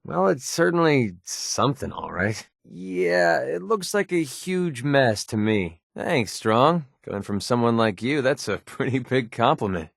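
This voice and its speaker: A cynical young American gun-for-hire from a post-apocalyptic video game. His mid-pitched male voice swings freely up and down, recorded dry and close. He talks in a casual, streetwise way, heavy on sarcasm and wisecracks. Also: big pitch swings, unhurried, vocal fry, hardly any pauses, no echo, exaggerated voice. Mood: skeptical, dry, then wry, uneasy. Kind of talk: video game companion dialogue.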